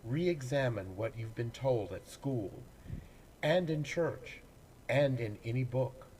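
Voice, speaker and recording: A man, an actor, reading poems aloud in a dramatic, deliberate way with pauses between phrases. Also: medium pitch, lively intonation, a little mellow, a little hiss or hum, low-quality recording. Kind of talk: dramatic poetry recitation.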